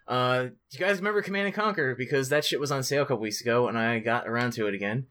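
A man with a medium-pitched voice co-hosts a gaming podcast. He chats casually and excitably, peppering his talk with slang and swearing. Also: racing. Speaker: podcast co-host. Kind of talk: casual chat about video games.

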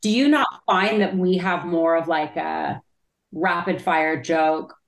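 A woman from Canada with a Canadian accent, a stand-up comedian chatting casually in an interview, with a loud voice and lots of filler words. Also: on the low side, takes it slowly, gravelly, reverberant. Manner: chatty, many "like" fillers, trails off.

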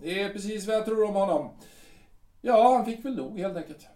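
A man acting out a sick, scornful captain in a radio drama, his high voice swooping widely as he stammers and repeats himself. He mutters some words almost under his breath. The room around him rings with echo. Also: expressive, dark tone. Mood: sneering. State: ill, short of strength. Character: bitter ailing middle-aged artillery captain husband. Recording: echoey room.

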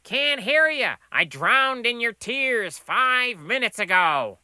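A whiny sad-sack cook from an audiobook comedy. The voice sits high and piercingly bright, with a plaintive drawl that stretches words out slowly. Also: male voice, very animated, clean tone, dry recording, exaggerated voice. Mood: mock mournful.

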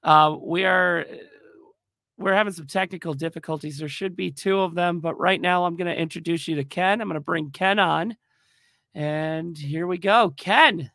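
A man hosting a livestream chat, hoarse from a cold, rambling with lots of fillers and restarts. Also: fairly high pitch, normal speed, bright, forceful tone, dry recording, clean studio sound. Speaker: podcast host. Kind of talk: casual livestream talk.

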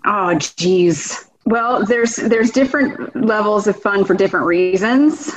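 A woman's voice: mid-range voice, measured pace. A middle-aged woman from Texas, a podcast guest who talks in an easygoing, down-to-earth way and laughs easily. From Texas, grew up in Houston. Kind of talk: casual interview chat. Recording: dull lo-fi audio.

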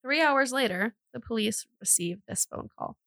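A woman with a bright, forward voice recorded close and dry. She tells true crime as a casual podcast host, chatting in a jokey, giggly manner. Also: fairly high pitch, lively intonation, normal speed, dry recording.

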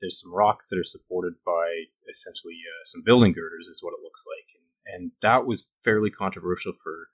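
A man's voice: low voice, fairly quick, hardly any pauses, slightly rough, lo-fi sound. A man with a Canadian accent co-hosting a podcast in casual conversation, often repeating words as he hesitantly forms his thoughts. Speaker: podcast co-host.

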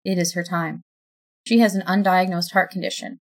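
A woman voicing Death, an ageless, solemn being who speaks in a flat, even tone. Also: on the low side, normal speed.

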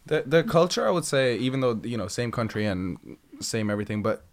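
A man's voice: medium pitch, lively intonation, brisk. A man guesting on a podcast, explaining at length in casual chat, restarting sentences and leaning on fillers.

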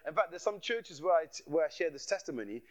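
A man from Nigeria delivers a sermon as a guest preacher, with a voice pitched a bit high for a man. He preaches with passion, circles back to repeat his phrases for emphasis and laughs at himself. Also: animated, fast talker, highs cut off. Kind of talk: sermon with personal testimony.

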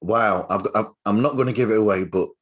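A man's voice: on the low side, fast talker. A man hosting a casual interview, talking in a rambling, enthusiastic way. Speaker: podcast host interviewer.

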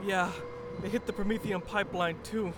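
High-pitched, rattled wounded soldier played by a man. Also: steady tone, normal speed, noisy background, lightly acted. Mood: tense. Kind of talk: sci-fi war audio drama acting.

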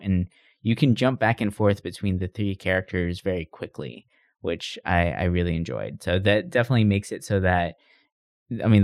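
A man's voice: sits low, a little mellow, no echo, studio quality. A man co-hosting a podcast, chatting casually about games in a rambling, informal way. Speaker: podcast co-host. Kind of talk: casual gaming chat.

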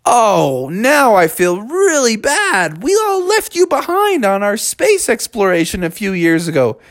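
A man voicing an earnest spaceman in a children's story, heartfelt, with drawn-out delivery. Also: sits high, very animated, voice pushed up. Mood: remorseful. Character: earnest, amazed male spaceman reunited with old crewmate.

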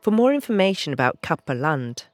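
A man's voice: fairly high pitch, very animated, fairly quick, dry recording. A man narrating a scripted historical audio drama as announcer, in a documentary style. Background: music.

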